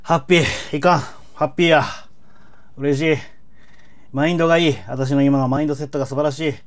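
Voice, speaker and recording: A man's voice: a little high, little pitch movement, fast talker. A man in his forties hosting a reaction video, exclamatory and playfully formal. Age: 40s. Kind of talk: reaction commentary.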